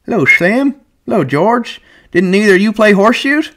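Man putting on a complaining old ranch hand, thin, high voice. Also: sing-song, normal speed, fairly bright tone. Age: older. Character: old male ranch swamper, whiny and sickly.

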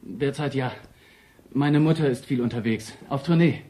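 A man's voice: medium pitch, moderate pace, warm tone, slight background noise, close to an everyday voice. A man acting a courteous, melancholy musician with a formal, hesitant manner in a radio play. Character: courteous, melancholy cellist, a bereaved son. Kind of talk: German radio play dialogue.

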